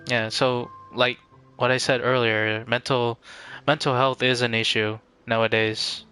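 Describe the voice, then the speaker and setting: Male streamer, mid-pitched and bright, reflective casual chat with halting phrasing over faint game music.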